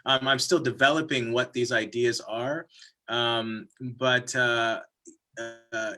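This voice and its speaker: A man's voice: mid-range voice, fairly even intonation, slow pace, pushed and bright. A man, a film director thinking aloud in a panel discussion.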